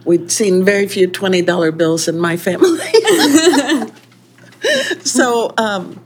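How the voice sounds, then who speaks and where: An older woman telling a lively story about her past in a relaxed interview, often laughing as she talks. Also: mid-range voice, takes it slowly, slightly rough. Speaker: restaurant owner.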